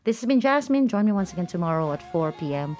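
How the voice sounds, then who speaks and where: A woman's voice: on the low side, big pitch swings, fast talker, over music. A woman hosting a casual live radio talk show, chatty and playfully teasing with her guests. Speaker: radio host. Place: radio studio.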